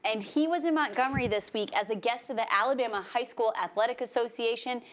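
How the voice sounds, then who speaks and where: A woman's voice: fairly high pitch, fast talker. A woman reading scripted sports news as a broadcast anchor.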